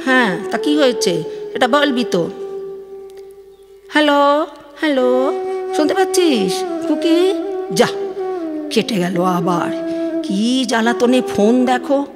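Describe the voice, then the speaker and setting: Audio play: actress as fretful, insistent village mother, West Bengal accent, high pitch, loud music underneath. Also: very animated, slow, long pauses, exaggerated voice. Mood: anxious, probing. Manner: colloquial, insistent, questioning. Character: anxious, nagging, needy village mother on the phone. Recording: long studio reverb, loud music underneath.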